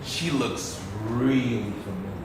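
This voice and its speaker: A man's voice: mid-range voice, measured pace, close to an everyday voice. A man acting in a stage play as a wry, persuasive, scheming grown son of a wealthy family. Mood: wry, conspiratorial. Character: scheming, pragmatic adult son of wealthy businessman. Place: theatre stage. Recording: echoey theatre, distant mic, noisy.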